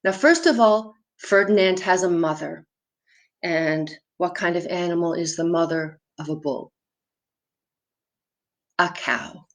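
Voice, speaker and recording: Slow-paced woman storyteller for children. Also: fairly low pitch. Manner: asks the viewers questions, explains simply and step by step. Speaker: children's storyteller and theatre performer. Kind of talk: demonstrating props and puppets to young viewers.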